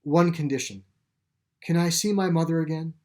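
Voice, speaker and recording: A man's voice: a little high, normal speed, darkish tone, pitched above the performer's own voice, close to an everyday voice. Man voicing an earnest, emotional young boy. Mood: resolved, hopeful. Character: earnest, grief-stricken boy who loves his dog. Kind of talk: storybook character dialogue.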